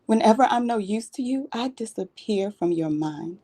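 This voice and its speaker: A woman's voice: medium pitch, animated, moderate pace, dark tone, natural voice. A woman performing a dramatic monologue as a hurt, angry grown daughter, accusing and emphatic, walking away from a neglectful parent. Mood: angry, final.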